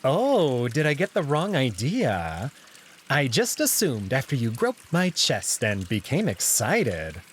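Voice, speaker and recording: A man's voice: medium pitch, wildly swooping pitch, takes it slowly, a little hiss or hum. A man voicing a smug, flirtatious male jackal in a visual novel, speaking with arch, mockingly polite theatricality. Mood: mock innocent.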